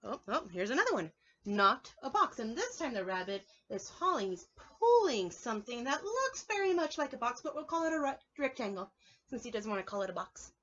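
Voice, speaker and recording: A woman preschool teacher reading a storybook aloud to young children in an animated, instructive way, often putting questions to her listeners. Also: on the high side, fairly quick, muffled recording.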